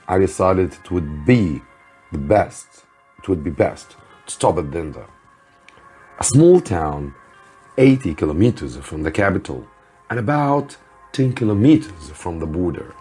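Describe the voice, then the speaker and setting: A man with a deep, somewhat raspy voice narrates a story for language learners. He goes slowly and deliberately, like a teacher reading aloud, over a faint bed of music. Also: darkish tone.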